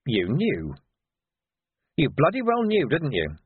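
A man voicing a gruff, blunt, sarcastic city police commander in an audiobook. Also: medium pitch, sing-song, normal speed, no room sound, phone-quality sound, pitched above the performer's own voice. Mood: angry.

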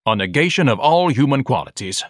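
A man's voice: medium pitch, very animated, brisk, no room sound, clean studio sound, voice pushed up. A man voicing a pompous, overeducated grown son with a grandiloquent, theatrical manner and formal diction. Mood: aggrieved, lofty.

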